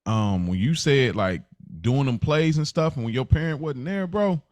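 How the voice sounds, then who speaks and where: A man's voice: mid-range voice, lively intonation, normal speed, darkish tone, dry recording. A middle-aged American man talking casually and earnestly as a podcast co-host, often repeating words for emphasis. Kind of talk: casual conversational podcast chat.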